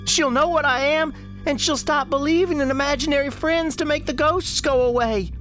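A woman's voice: sits high, normal speed, fairly bright tone, soft background music. A woman playing a frightened ghost girl, an imaginary friend, in a radio drama, pleading with breathless urgency. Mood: anguished.

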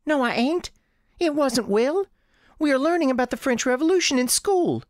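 Young Southern schoolgirl acted by an adult, high and animated, eager, rustic, rambling; radio tale. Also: moderate pace, no room sound, studio quality. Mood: defiant. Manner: rustic childish grammar, eager rambling confessions. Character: frightened, earnest young Southern girl, chatty schoolchild.

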